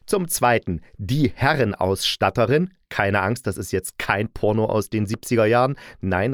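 A man's voice: mid-range voice, no room sound. A man hosting a chatty review podcast in a jokey, self-ironic manner, close to the microphone. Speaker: podcast host and audio drama critic.

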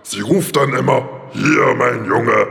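A gravelly, creaky talking lion acted by a man speaks in a big reverberant hall. The creature is simple, grumpy and always hungry, pleading and stumbling over repeated words in a fairy-tale radio play for children. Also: mid-range voice, slow pace, a bit bright, heavily acted. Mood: hesitant. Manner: hesitant, repeats words, plaintive.

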